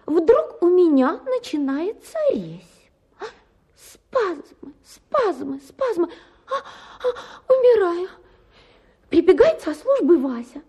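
An actress playing a capricious, chattering young wife in a radio play, with a theatrical, gushing and complaining manner. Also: female voice, extremely high pitch, mellow, heavily acted.

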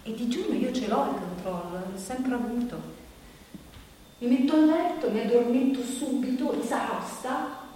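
An actress playing an anxious, bitter woman nearing forty in a halting, repetitive, confessional stage monologue. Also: fairly high pitch, dark tone, natural voice. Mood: weary. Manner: halting, repetitive, insistent, with rhetorical questions. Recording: echoey hall from far off, background noise.

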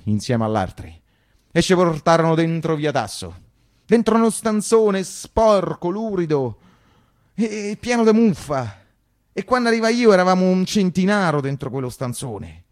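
A man with a Roman accent playing a naive, animated working-class character recalling his wartime boyhood in a colloquial, emphatic radio monologue. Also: fairly high pitch, close to an everyday voice. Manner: emphatic, repetitive, conversational.

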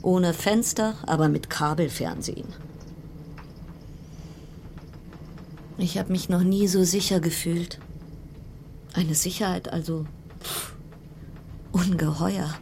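An actress playing a brittle, self-reassuring woman isolated in a ship's cabin, musing haltingly and repetitively in in a radio play, at times dropping into whispers. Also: fairly low pitch, fairly even intonation, vocal fry, darkish tone, slight background noise. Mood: brittle reassurance. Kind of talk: German radio play monologue.

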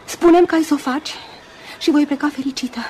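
An actress playing an earnest, lovelorn young woman in a Romanian radio play, speaking with halting intensity. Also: high voice, steady tone, a little mellow, slight background noise, natural voice. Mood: pleading, emotional. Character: Susan, earnest lovelorn young woman, self-effacing but insistent. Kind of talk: Romanian radio theatre acting.

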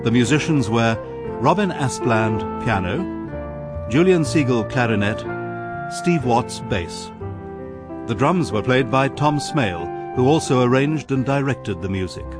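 A man's voice: medium pitch, expressive, unhurried. A man reading scripted credits as a radio announcer. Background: jazzy music.

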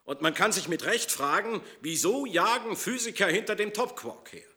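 High, bright-voiced man explaining emphatically. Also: moderate pace.

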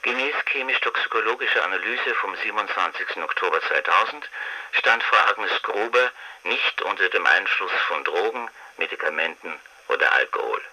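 An actor in a radio drama playing a clinical official who reads medical reports in a clipped, formal manner. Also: male voice, deep voice, expressive, moderate pace, background noise.

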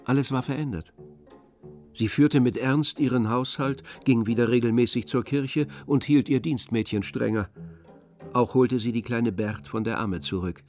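A man's voice: medium pitch, normal speed, darkish tone, faint music behind. A man narrating a literary radio play from a script.

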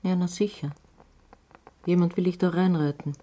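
An actress playing a bossy, sardonic theatre boss in a radio drama, blunt and commanding. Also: female voice, on the low side, steady tone, moderate pace, warm tone, natural voice. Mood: blunt, scolding.

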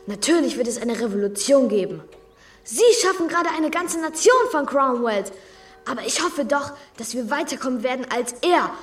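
A woman's voice: high voice, lively intonation, moderate pace, far from the mic, soft background music. A woman playing a precocious, insolent schoolboy in a radio drama, clever, cheeky and defiant, prone to stammering. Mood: cool defiance.

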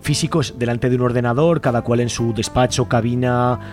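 A male radio interviewer with an accent from Spain, speaking at a rapid pace. He is informal and conversational, reworking his questions as he goes, with music behind him. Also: medium pitch, steady tone, a little mellow.

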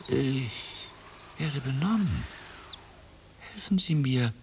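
A man's voice: mid-range voice, lively intonation, slow pace, vocal fry, heavy background noise. A man playing a genteel, hesitant, easily bewildered ship's captain in a German radio play. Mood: soft, hesitant.

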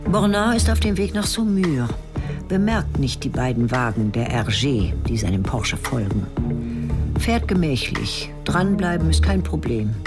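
A woman's voice: extremely low pitch, big pitch swings, a little slow. A woman narrating a radio drama in a terse, clipped, matter-of-fact way. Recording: studio radio play with music.

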